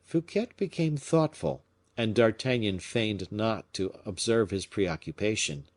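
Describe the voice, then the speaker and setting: Expressive male audiobook narrator. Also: lowish voice, unhurried. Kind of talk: scripted literary narration.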